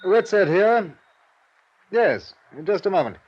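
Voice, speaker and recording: An actor playing a matter-of-fact, formal male police inspector in a 1950s radio detective drama, speaking in a measured way. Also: high-pitched, very animated, noisy background, full character voice. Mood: brisk, businesslike. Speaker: supporting actor. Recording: muffled vintage radio recording.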